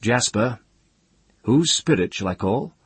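A man voicing a proud, self-assured young sorcerer with gentle mockery in a fantasy audiobook. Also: deep voice, sing-song, moderate pace, vocal fry, husky, no room sound, exaggerated voice. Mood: cool challenge. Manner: soft-spoken, mocking, self-assured. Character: proud, coolly mocking young boy sorcerer. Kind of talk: dramatized audiobook fantasy storytelling.

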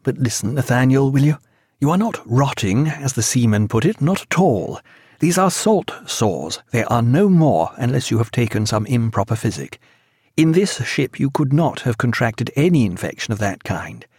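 A man voicing a calm, level-headed ship's physician speaking in measured tones. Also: medium pitch, husky, dry recording, studio quality.

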